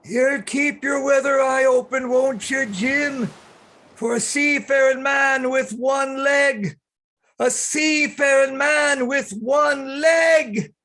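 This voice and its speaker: A man playing a gruff, wary, hard-drinking old sea captain in a radio play. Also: extremely high pitch, slow pace, sharp tone, shouting, full character voice. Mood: commanding.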